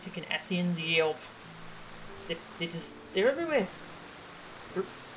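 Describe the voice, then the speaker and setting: A woman's voice: on the low side, very animated, measured pace, slight background noise, low-quality recording. A woman game streamer giving casual live commentary as she plays. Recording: clear game music behind.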